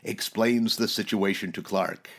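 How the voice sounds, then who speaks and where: A man's voice: fairly low pitch, steady tone, measured pace, fairly bright tone. A man playing a grandiose, declamatory narrator of a 1940s radio superhero serial. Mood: grandly narrating. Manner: dramatic, declamatory.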